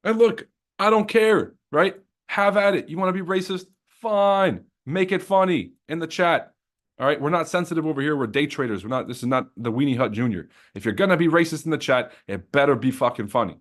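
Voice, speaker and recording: A man's voice: fairly high pitch, expressive, a little fast. A young man hosting a trading livestream, chatting loosely with his chat, joking and swearing freely.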